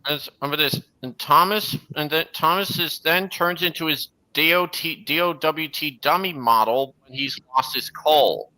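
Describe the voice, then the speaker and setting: A man's voice: on the high side, moderate pace, few pauses, pushed and bright, low-quality recording. A man hosting a livestream, giving casual, earnest, detail-focused commentary on videos he is watching. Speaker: livestream host. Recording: music playing behind the voice.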